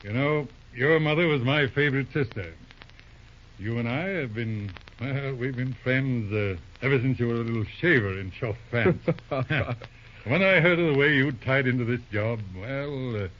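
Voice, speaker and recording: A man's voice: medium pitch, moderate pace, close to an everyday voice. An actor voicing a shrewd, kindly old judge in a vintage radio play, chuckling and humming as he drawls through his thoughts. The sound is dull and lo-fi with a faint hiss, like an old broadcast recording. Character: shrewd genial older male judge, uncle. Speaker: lawyer uncle. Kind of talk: 1930s radio drama acting.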